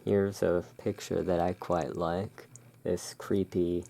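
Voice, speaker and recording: A low-voiced ASMR host plays the keeper of a dark gothic emporium. The delivery is very slow and soft, with long pauses between short phrases. The tone is mellow, with a gravelly fry running through it. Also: little pitch movement. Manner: unhurried, hushed, pausing between short phrases. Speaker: ASMR roleplay host as curiosity emporium owner. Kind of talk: soft-spoken ASMR tarot card showing.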